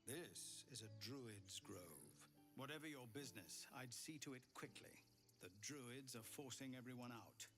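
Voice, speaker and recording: The man you would meet at the gate of a besieged fantasy game camp an actor's performance, grave, tired and sincerely pleading for his people, under a loud score. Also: medium pitch, lively intonation, a little slow, natural voice. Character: weary, earnest male leader of besieged refugees. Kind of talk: dramatic video game dialogue. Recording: loud music behind the voice.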